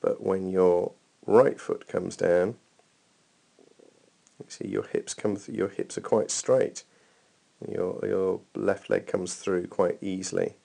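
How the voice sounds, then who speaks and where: Very gravelly, low-pitched man. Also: flat delivery, slow pace, mellow. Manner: hesitant, thinking aloud. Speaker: running coach. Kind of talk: informal technique commentary over training video.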